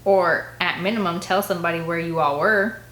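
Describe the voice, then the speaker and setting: A woman's voice: fairly low pitch, fairly quick, a bit bright, roomy sound, natural voice. A woman voicing a cocky, mocking teenage boy in an audiobook. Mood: evasive.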